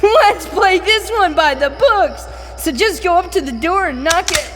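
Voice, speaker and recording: A woman voicing a very high, slow cartoonish cat sidekick. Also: expressive, background noise. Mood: eager. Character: dead cat sidekick, male, shrewd crime-scene partner.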